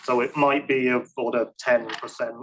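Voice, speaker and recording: A man's voice: medium pitch, flat delivery, noisy background. Hesitant man fielding science questions. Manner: halting restarts, self-deprecating asides. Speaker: conference speaker answering questions. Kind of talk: technical Q&A, casual and hedging. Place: lecture room.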